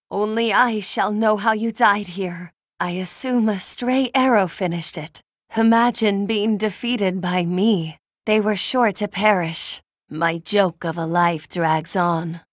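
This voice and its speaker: A meek, gloomy young noblewoman from a video game. She speaks slowly and formally, forever apologetic and down on herself. The recording is dry and close. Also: mid-range voice, no room sound. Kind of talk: short battle voice clips.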